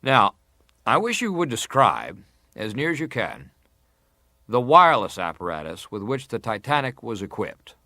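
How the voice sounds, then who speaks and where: An actor playing an American senator who questions a witness formally, measured and insistent, in a dramatized hearing. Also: male voice, mid-range voice, huge pitch swings, bright, forceful tone, dry recording, studio quality, exaggerated voice. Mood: formal, probing.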